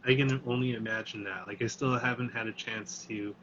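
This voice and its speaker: A man's voice: medium pitch, fairly even intonation, brisk. A man hosting a casual interview chat, easygoing, who reads out viewer questions. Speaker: host. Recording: lo-fi video call audio with faint noise.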